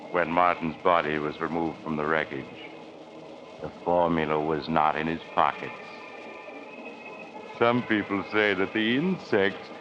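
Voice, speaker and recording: An actor playing a sinister, teasing narrator host in an old radio drama, with a deep, insinuating voice. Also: male voice, background noise. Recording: muffled old radio recording with music.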